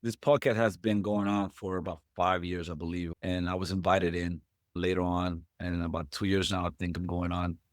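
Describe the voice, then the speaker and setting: A middle-aged man from Puerto Rico, a podcast host, talking in a halting way and repeating words as he thinks. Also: low voice, level tone, no room sound, clean studio sound. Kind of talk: conversational interview.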